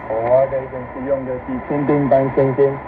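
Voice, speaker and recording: A man's voice: medium pitch, flat delivery, fairly quick. A man giving a Bible talk as a religious broadcaster, heard over shortwave radio. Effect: shortwave radio reception. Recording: narrow, tinny shortwave signal, loud static.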